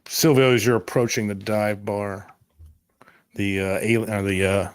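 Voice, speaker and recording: A man serving as game master, narrating tabletop roleplay scenes in a deliberate, evocative way. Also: on the low side, steady tone, clean tone, no echo, studio quality.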